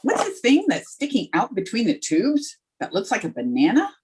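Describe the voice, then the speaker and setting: Wry, lively schoolteacher played by a woman, slightly husky. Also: fairly high pitch, moderate pace, exaggerated voice. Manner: dry, sardonic wisecracking. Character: wry, wisecracking woman high school teacher. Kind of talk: old-time radio comedy acting. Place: remote recording over video call.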